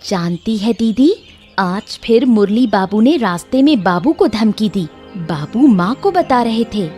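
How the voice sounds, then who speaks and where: A woman's voice: medium pitch, expressive, measured pace, mellow, faint music behind, lightly acted. A woman voicing a clever, bold and protective young sister in a story, confident and dismissive of danger. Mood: indignant.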